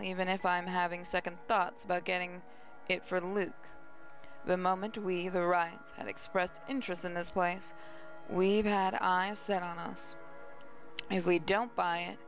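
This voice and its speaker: A woman hosting a gaming channel, reading visual novel narration aloud, with faint music behind her. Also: fairly low pitch.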